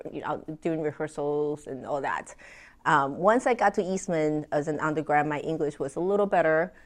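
A low-pitched woman from Taiwan speaks as an interview guest. She tells her stories in an animated way, with plenty of ums and small laughs. Her voice sits deep for a woman. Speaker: guest, French horn player. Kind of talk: conversational interview.

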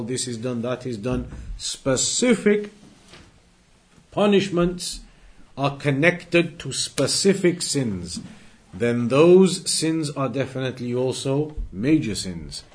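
A man teaching an Islamic studies class, explaining deliberately and repeating key phrases for emphasis as he moves through recited quotes. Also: medium pitch, slightly rough. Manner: didactic, deliberate, emphatic repetition. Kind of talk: religious lesson, explaining and translating recited quotes.

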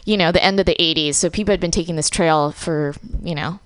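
A woman in her thirties, from Vietnam, talks loosely and laughs often as a guest on a casual podcast. Her voice is smooth and clear. The sound is dry and close. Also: deep voice, quick pace, dry recording. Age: 30s. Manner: chatty, laughing, heavy filler words. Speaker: comedian guest.

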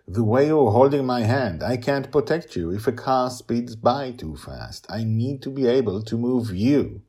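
Man plays storybook dad, protective and amused, husky, highly animated coaching of his child. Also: a little slow. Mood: patient, insistent. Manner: emphatic, patient, amused. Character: playful, protective father teaching his young daughter.

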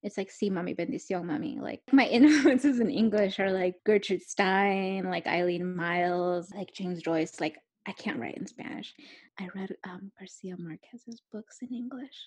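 A woman's voice: mid-range voice, normal speed, husky. A young adult woman author talking candidly and rambling, with lots of filler words and laughing asides. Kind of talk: candid conversational talk.

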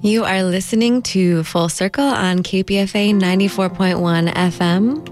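Woman radio host, mid-pitched. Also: fairly even intonation, moderate pace, a little mellow, faint music behind. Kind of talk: radio interview. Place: radio studio.

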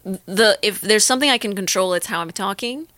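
A woman's voice: mid-range voice, fairly quick, bright, forceful tone, no echo. A young woman with a Northern Californian accent, speaking as an interview guest. She talks in an earnest, reflective way, thinking aloud and restarting her sentences. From Northern California, United States. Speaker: interview guest, actor and musician. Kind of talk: candid conversational interview.

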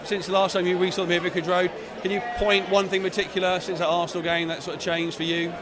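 A man hosting a casual football podcast outdoors, jokey and halting with fillers. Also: high-pitched, little pitch movement, crowd noise. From England. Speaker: podcast host. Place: outdoors near a stadium.

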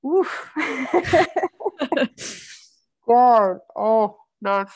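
A woman's voice: on the high side, drawn-out words, darkish tone, clean tone. A young adult woman from Syria, an actress guest telling her story earnestly in an interview and often repeating words as she searches for them. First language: Arabic.

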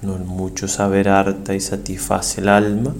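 A man, a priest, leads a guided prayer meditation in a solemn, reverent and measured way, pausing between short phrases. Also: low voice, completely flat delivery, gravelly, a little mellow, slight background noise.

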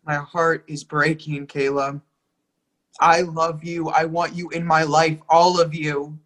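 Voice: medium pitch, flat delivery, slow pace, a bit bright. An actor as an imploring, lovestruck young partner. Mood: heartbroken. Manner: emphatic, imploring. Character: lovestruck, pleading young partner, hurt and insistent. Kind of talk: readers theatre dialogue reading.

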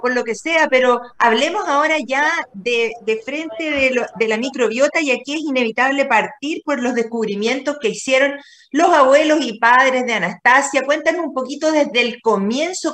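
A woman's voice: high-pitched, a bit bright. A woman hosting a casual interview, asking long winding questions with an effusive, repeating manner. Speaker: interview host.

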